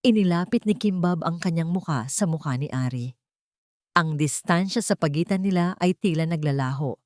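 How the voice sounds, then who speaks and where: A woman's voice: fairly low pitch, darkish tone, no room sound, clean studio sound. A woman narrator reading a scripted romantic audio drama in a dramatic storytelling style.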